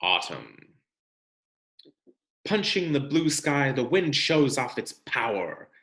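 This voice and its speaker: A man's voice: mid-range voice, slow pace. Man reading aloud expressively, roomy sound. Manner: expressive, explanatory, addressing children directly. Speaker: teacher reading aloud to students. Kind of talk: read-aloud of a children's science book with explanatory asides.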